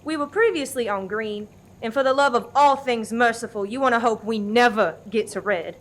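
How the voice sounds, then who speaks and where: A woman's voice: a little high, normal speed, natural voice. A woman playing a bossy, no-nonsense diner manager in a farcical radio play, commanding and official. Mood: dryly explaining. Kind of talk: farce radio play acting.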